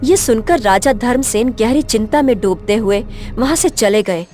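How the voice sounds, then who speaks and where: A woman narrates a scripted story. Her voice is pitched a little high, in a storyteller's delivery. Faint music plays underneath her narration. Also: lively intonation, moderate pace, darkish tone.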